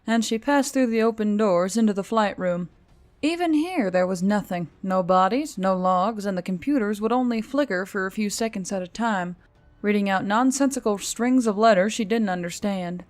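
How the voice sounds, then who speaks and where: A woman narrates a piece of fiction in a medium-pitched voice. She reads scripted prose as an audiobook narrator, with music playing behind her. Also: normal speed, a little mellow.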